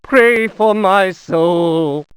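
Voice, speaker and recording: A man's voice: high voice, very slow. An actor voicing a doomed diary writer in a horror game, theatrical and anguished as he slips into despairing madness. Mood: despairing, resigned.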